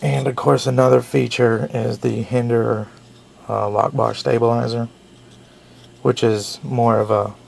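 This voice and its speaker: Man, heavy vocal fry, gravelly, slightly hoarse from sickness, rambling casual review talk. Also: medium pitch, flat delivery, measured pace, a little mellow, slight background noise. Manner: rambling, self-correcting explanations. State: a little sick, losing his voice. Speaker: knife reviewer.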